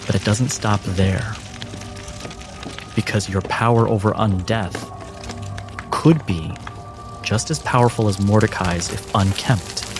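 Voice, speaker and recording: A man working as game master, narrating dark fantasy in measured, suspenseful phrases with dramatic pauses. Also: fairly low pitch. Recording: music under narration.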